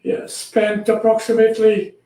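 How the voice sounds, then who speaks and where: The courteous man in his eighties you would hear recounting his life from a bare, echoing room, mellow and unhurried. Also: high voice, completely flat delivery. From Trinidad. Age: 80s. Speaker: guest, recovered patient. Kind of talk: conversational interview answers.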